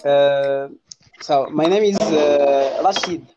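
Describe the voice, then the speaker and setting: A man's voice: on the high side, slow pace, dull audio, background noise. A man from Morocco with a Moroccan accent, speaking as a guest on a casual online video call. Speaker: guest joining a group video chat. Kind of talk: casual chat.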